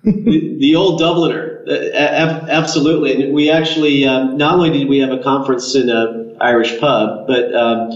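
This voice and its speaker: A man's voice: medium pitch, fairly even intonation, moderate pace. Hesitant male guest on a roomy line. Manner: hesitant, many uh and um. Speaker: guest, company co-founder. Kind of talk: casual interview chat. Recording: echoey, dull remote line.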